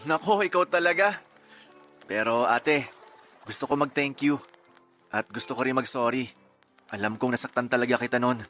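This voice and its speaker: Actor as sincere young man, bright voice, modest, earnest banter, radio drama with faint music. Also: mid-range voice, moderate pace, pauses often, lightly acted. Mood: apologetic. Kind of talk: Filipino audio drama dialogue.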